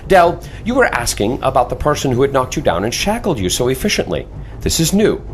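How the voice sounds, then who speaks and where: Man playing a wry, animated airship commander. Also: mid-range voice, a little fast, slight background noise, natural voice. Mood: wry, teasing. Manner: dry, teasing, formal. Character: suave, wry, mysterious male airship commander. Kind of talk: audio drama acting.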